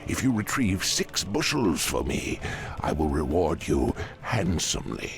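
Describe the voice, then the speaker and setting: Elderly orc sage: deep voice with expressive swings, formal, courteous, fantasy game. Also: male voice, creaky, soft background music, exaggerated voice. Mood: wise, courteous. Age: older. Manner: measured, formal. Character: old orc shaman elder, wise, courteous.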